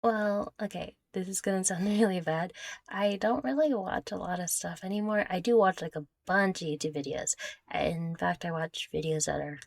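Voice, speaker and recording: A young woman with a fairly low voice speaks close to the mic in a very dry space. She hosts a casual Q&A, wandering through her answers in a giggly, self-deprecating manner. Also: moderate pace, creaky, no room sound. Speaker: content creator answering viewer questions. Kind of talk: casual Q&A chat.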